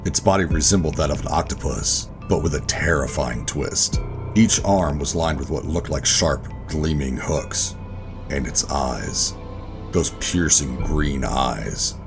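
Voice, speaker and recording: An extremely deep, brooding man acting as a world-weary government operative in a sci-fi horror audio drama. He is guarded and deliberate in his storytelling, with music playing clearly beneath him. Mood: ominous, tense. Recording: music under the voice.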